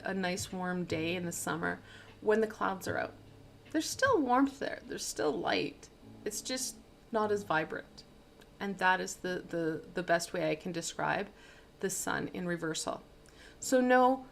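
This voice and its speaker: A woman's voice: fairly low pitch, gravelly, slight background noise. A woman giving a casual livestream tarot reading, rambling and stumbling over words and poking fun at her own slips, into a plug-in microphone. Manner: rambling, stumbling, self-deprecating. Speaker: tarot reader. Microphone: plug-in studio microphone.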